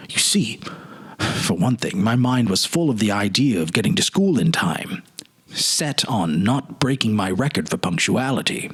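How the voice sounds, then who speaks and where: A man voicing a wistful middle-aged gentleman who hesitantly muses over a childhood memory in a dramatic story reading. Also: medium pitch, slightly rough, heavily acted. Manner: hesitant, musing, reminiscing.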